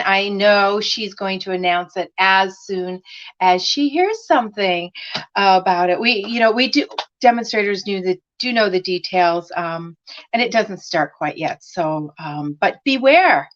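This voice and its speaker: A woman hosting a craft live stream, chatting with viewers by name and using many fillers, her voice slightly raspy as she recovers from laryngitis. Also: mid-range voice, unhurried, fairly bright tone. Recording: dull lo-fi live stream audio.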